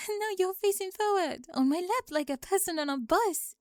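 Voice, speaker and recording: A woman's voice: very high voice, animated, moderate pace, a little mellow, no echo, clean studio sound. A woman playing a forthright, dryly witty young French exchange student in an ASMR audio drama, with a French accent and precise, formal phrasing. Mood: indignant, amused.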